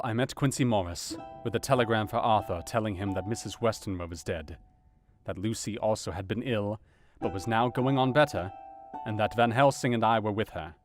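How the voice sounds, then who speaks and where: An actor playing a grave, conscientious doctor, narrating his own account in a measured, formal, sombre way in an audio drama. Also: lowish voice, soft background music, natural voice. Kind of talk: dramatized first-person narration.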